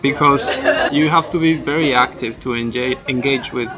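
A man's voice: medium pitch, normal speed, over music, crowd noise, poor audio. A man from Mexico, a PhD student, giving reflective interview answers.

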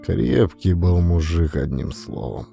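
A man reading classic prose as an audiobook narrator, in an expressive, theatrical, folksy storytelling style. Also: deep voice, slow pace, gravelly, breathy edge, faint music behind.

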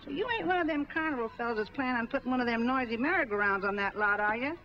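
An actress playing a cranky, suspicious middle-aged neighbor, brusque and scolding, in 1940s film style. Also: female voice, fairly high pitch, racing, close to an everyday voice. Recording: tinny, muffled 1940s film soundtrack.

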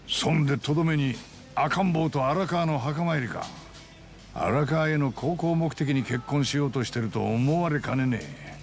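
An actor playing a frank, worldly man who gives tough advice in rough, matter-of-fact speech in a game. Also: on the high side, measured pace, soft background music. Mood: grim, frank. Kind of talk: dramatic Japanese video game voice acting.

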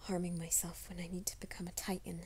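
A woman voicing a troubled young man who hides a werewolf nature, in a soft, hushed ASMR roleplay, often whispering. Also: on the low side, level tone, fast, husky. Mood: anxious whisper. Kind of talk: fan audio drama, ASMR roleplay.

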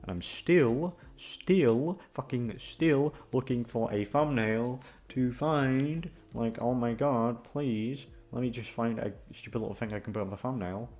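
A man's voice: mid-range voice, a little slow, few pauses, a little mellow. A man rambling casually in a scattered, self-deprecating way, swearing freely as he chats to listeners. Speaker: audio roleplay creator. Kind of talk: casual rambling outro chat. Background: background music.

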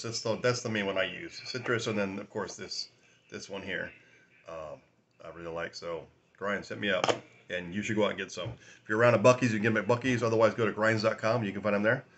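A man in his forties with a raspy voice from a sinus infection, rambling casually through a whiskey tasting vlog at home, filming on a phone at arm's length. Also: fairly low pitch, noisy background. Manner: rambling, chatty, laughs often, many fillers. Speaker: whiskey review host. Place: small room at home, indoors.

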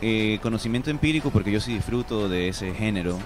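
Fast-talking man with a lowish voice. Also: expressive. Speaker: radio show guest; stand-up comedian. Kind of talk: conversational interview talk. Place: small radio studio. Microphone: studio microphone on boom arm.

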